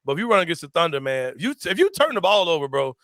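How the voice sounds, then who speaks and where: A young man hosting a casual sports talk show, animated and slangy and quick to laugh. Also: fairly high pitch, no echo, studio quality.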